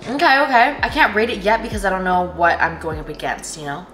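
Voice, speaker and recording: Young woman, mid-pitched voice, casual vlog chat, candid slangy swearing, clear background music. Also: fast talker, fairly bright tone. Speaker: vlogger. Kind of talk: casual chatty vlog to camera.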